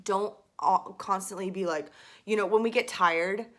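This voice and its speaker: A chatty young woman in a medium pitch coaches viewers through vocal warm-ups. She talks loosely and fills pauses with "like" and "um." A faint graveliness sits in her lower notes. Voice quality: slight gravel in her low register. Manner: chatty, filler-heavy, demonstrating exercises. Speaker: voice teacher. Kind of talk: casual video lesson.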